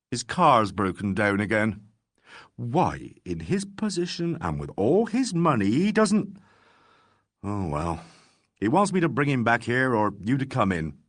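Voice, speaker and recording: A man's voice: medium pitch, big pitch swings, unhurried, no echo, clean studio sound. A man reading an audiobook voices a sour, exasperated police officer grumbling about his superior.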